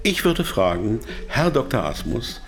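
An actor playing a proud, weary doctor held captive, speaking in a deliberate, pointed way in a radio drama. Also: male voice, mid-range voice, very animated, soft background music. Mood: indignant.

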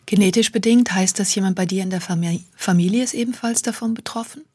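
A woman hosting a radio interview, asking questions in a friendly, lively way. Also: medium pitch, fast talker, breathy edge, no room sound, clean studio sound. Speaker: radio host. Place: radio studio.

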